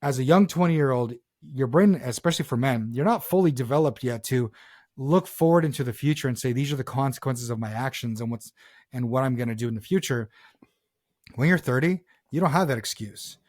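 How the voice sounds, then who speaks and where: A man's voice: mid-range voice, fairly quick, a little mellow. A man in his thirties talking earnestly in a reflective personal conversation, often repeating and rephrasing himself as a podcast co-host. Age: 30s.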